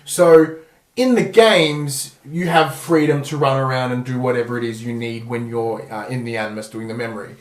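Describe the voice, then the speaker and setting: A man's voice: medium pitch, moderate pace. An Australian man hosting a podcast, casually talking through a film review in an informal, hedging way. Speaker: podcast host. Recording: echoey room, far from mic.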